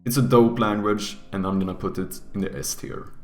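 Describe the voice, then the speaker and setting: A man's voice: on the low side, steady tone, a little fast, reverberant. A young man with a Swedish accent, a native Swedish speaker, giving casual, joking tier-list commentary with teasing, mock-harsh asides. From Sweden. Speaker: YouTuber.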